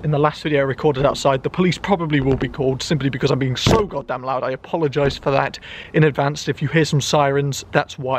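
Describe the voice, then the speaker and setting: A young man with a fairly high voice talks loudly and chattily to camera, poking fun at himself as he goes. He is recording outdoors in an enclosed garden at night. His voice carries a slight echo off the surrounding trees. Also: slight background noise. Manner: loud and chatty, self-mocking. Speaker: YouTuber. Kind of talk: casual vlog monologue.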